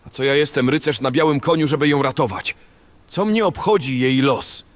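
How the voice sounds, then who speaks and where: A man acting a selfish, panicky bandit in a fantasy audio drama, with an excitable, colloquial way of speaking. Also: mid-range voice, normal speed, lightly acted. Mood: scornful, urgent.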